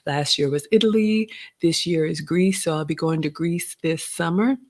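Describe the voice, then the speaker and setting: A woman's voice: sits low, normal speed, a little mellow. Woman, forties, from Ghana, live Q&A host, chatty, reads out viewer comments. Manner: chatty, reads viewer comments aloud, uses "y'all" and "you all". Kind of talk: casual live Q&A chat.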